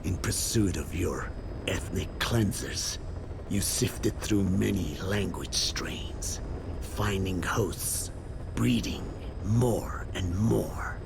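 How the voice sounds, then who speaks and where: A male actor playing a defiant, anguished old captive elder in a video game, gravelly and breathy, often sinking toward a whisper. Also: exaggerated voice. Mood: grave, vengeful whisper. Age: older. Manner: slow, grave, accusing. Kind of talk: dramatic video game voice acting. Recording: background rumble, secretly taped cassette scene.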